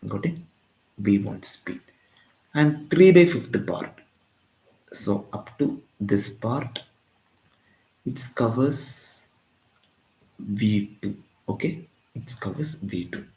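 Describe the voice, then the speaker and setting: A lowish-voiced man with an Indian English accent, a physics tutor from Tamil Nadu, talks through a live-streamed exam-prep lesson. He repeats key phrases and checks in with his students constantly. The sound bounces around an echoey room. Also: animated, slow, pauses often, darkish tone. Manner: repetitive, keeps checking in with "got it?".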